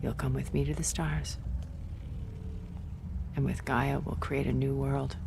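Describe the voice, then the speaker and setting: A centuries-old immortal woman from a video game drama, speaks low with a gravelly creak. She is measured and grave, weary yet possessive, coldly persuading. Faint noise and ambience sit behind her. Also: level tone, heavily acted. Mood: solemn resolve.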